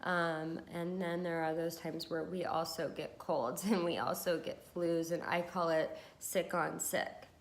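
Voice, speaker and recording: A woman's voice: lowish voice, steady tone, measured pace, hardly any pauses. A woman with a congested voice from a cold, chatting casually and encouragingly to camera about wellness, with many fillers. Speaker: beauty vlogger and hypnotherapist.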